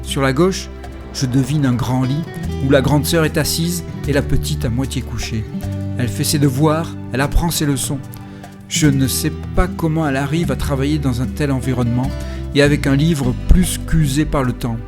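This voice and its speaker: A man calmly telling the story of his cycling trip in the first person, with a reflective, storytelling way of speaking. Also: medium pitch, moderate pace, over music. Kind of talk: first-person travel narration. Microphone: voice-over recorded separately.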